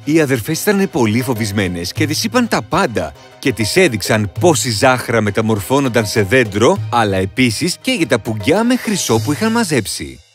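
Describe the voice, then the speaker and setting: A man narrating a cartoon fairy tale in a dramatic, expressive storytelling manner. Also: mid-range voice, few pauses, soft background music.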